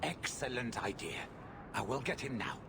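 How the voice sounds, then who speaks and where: A man's voice: mid-range voice, expressive, moderate pace, noisy background, natural voice. An actor voicing a haughty, hot-tempered, ruthless merchant in a video game, pompous and contemptuous in his formal phrasing. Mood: haughty, irritated. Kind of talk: video game cutscene acting.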